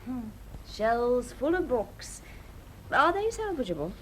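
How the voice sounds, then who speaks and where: A woman's voice: on the high side, very animated, slow pace, a bit bright, exaggerated voice. A British woman acting an inquisitive, sceptical secretary to an investigator in a radio drama, conversational and questioning. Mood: curious. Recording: noisy vintage radio drama recording.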